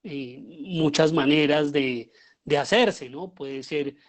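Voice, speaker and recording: A man with a Colombian accent giving an explanatory lecture as a teacher, often pausing and posing rhetorical questions. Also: on the high side. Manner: halting, with pauses and rhetorical questions. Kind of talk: explanatory lecture on writing audio fiction.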